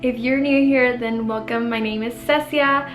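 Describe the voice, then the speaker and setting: Giggly girl in her 20s vlogging from her bedroom corner, kinda high voice, echoey room, still getting over the flu. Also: steady tone, moderate pace. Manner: chatty, giggly, uses "like" and "y'all". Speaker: vlogger, college student. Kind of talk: casual chat to camera.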